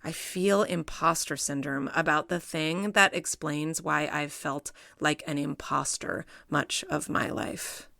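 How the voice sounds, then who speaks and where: Woman, middle-aged, gravelly tone, dry close mic, measured reflective reading. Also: low voice, steady tone, bright, forceful tone, no room sound. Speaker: essay reader, psychologist. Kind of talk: reading her own personal essay aloud.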